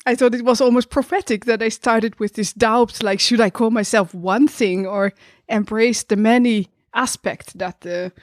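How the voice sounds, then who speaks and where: A woman speaking reflectively in a casual podcast discussion, tentative and pausing mid-phrase as she thinks. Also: on the high side, fairly even intonation, no echo, studio quality.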